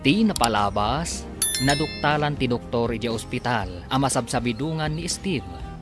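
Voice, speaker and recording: A man announces a radio serial in a dramatic scripted manner. His mid-pitched voice swings widely over prominent background music. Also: lively intonation, moderate pace. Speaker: announcer and narrator.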